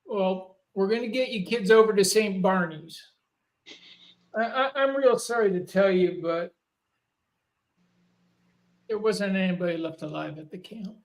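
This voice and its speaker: Someone doing a small town sheriff guy, gruff and folksy, sarcastic, horror script table read. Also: mid-range voice, unhurried, pauses often, natural voice, poor audio. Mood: uneasy, sympathetic. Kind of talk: amateur screenplay table read.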